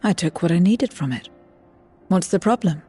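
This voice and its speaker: A world-weary woman from a void realm in a video game. She speaks bluntly and tersely, with a deadpan delivery. Music plays behind her. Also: fairly low pitch, normal speed, breathy edge. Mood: flat, disdainful. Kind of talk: video game cutscene acting.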